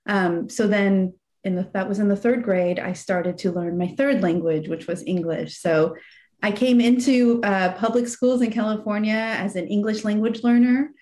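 A woman's voice: mid-range voice, steady tone, moderate pace. Iran-born woman guesting on an interview, chatty and thoughtful, lots of ums and little laughs. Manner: warm, reflective storytelling with soft laughs and fillers. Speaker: guest, nonprofit executive director. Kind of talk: casual interview chat.

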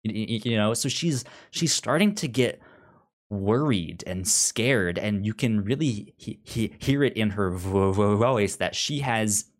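A man co-hosting a casual review discussion, talking haltingly and often repeating words as he works through his thoughts. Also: fairly low pitch. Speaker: podcast co-host.

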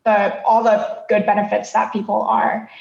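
A woman from Thailand speaking as a podcast guest, explaining her thoughts in a reflective, halting, conversational way. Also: mid-range voice, completely flat delivery, creaky, darkish tone, echoey room, dull audio. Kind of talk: conversational interview answers.

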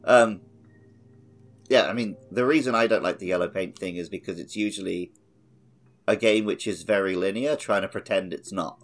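A man's voice: deep voice, moderate pace. A man chatting casually as host of a gaming podcast, with a blunt, opinionated and sweary manner. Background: background music.

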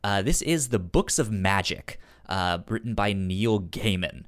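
A man co-hosting in casual chat, halting and hesitant, with a stutter that repeats sounds and words. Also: lowish voice, animated. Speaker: podcast co-host. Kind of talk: casual chat recommending comics and shows.

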